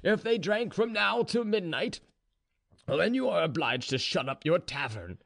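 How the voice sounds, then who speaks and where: A man's voice: sits high, sing-song, unhurried, creaky, a bit bright, voice pushed up, full character voice. A man voicing a drunk, boastful serf in a storybook reading, swaggering and defiant, with hesitant drunken phrasing. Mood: defiant, commanding.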